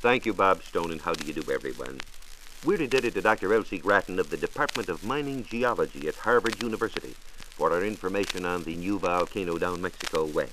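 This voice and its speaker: American male science reporter, low voice, lively intonation, formal 1940s radio narration, precise, old disc. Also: quick pace. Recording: faintly noisy 1940s radio transcription disc.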